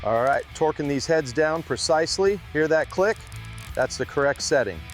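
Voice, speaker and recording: A man's voice: fairly high pitch, animated, moderate pace, over loud music. A man working as a car restoration mechanic, calling out each step in short, clipped, procedural phrases. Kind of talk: short step-by-step workshop commentary.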